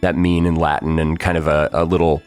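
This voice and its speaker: Very deep-voiced thoughtful man. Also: level tone, brisk, soft background music. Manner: thoughtful, rambling, many fillers, laughs. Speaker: podcast co-host. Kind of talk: casual behind-the-scenes discussion.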